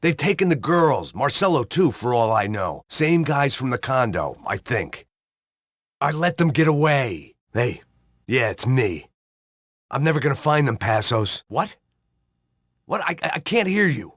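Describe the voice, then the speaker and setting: An actor playing a middle-aged, hard-drinking ex-cop in a noir video game, with a deadpan, sardonic, self-loathing manner. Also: male voice, medium pitch, unhurried, no room sound. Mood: frantic, defeated. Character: world-weary, hard-drinking male ex-cop turned failing bodyguard.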